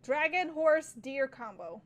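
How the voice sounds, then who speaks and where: A woman giving casual live game commentary, chatty and thinking out loud as she reacts. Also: sits high, expressive, takes it slowly. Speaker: game streamer.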